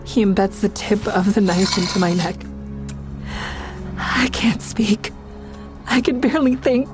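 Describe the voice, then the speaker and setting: Woman playing scared heroine in an audio thriller, tense measured storytelling, medium pitch, music behind her. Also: close to an everyday voice. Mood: tense narration. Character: frightened woman narrating her own suspenseful ordeal. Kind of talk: dramatic first-person narration and dialogue.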